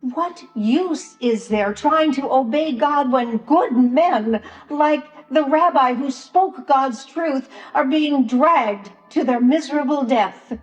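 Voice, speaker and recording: A woman playing a sorrowful, troubled grandmother in a solemn Passion drama monologue, pausing long between phrases. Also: sits high, muffled recording. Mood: sorrowful, questioning. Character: sorrowful grandmother in occupied ancient land, a troubled bystander. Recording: roomy echoing church sound with music.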